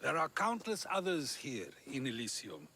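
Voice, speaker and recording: A man acting a witty, philosophical ancient Greek sage in a video game, speaking in a measured, eloquent way. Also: medium pitch, wildly swooping pitch, sharp tone, a little hiss or hum, exaggerated voice. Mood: solemn, consoling.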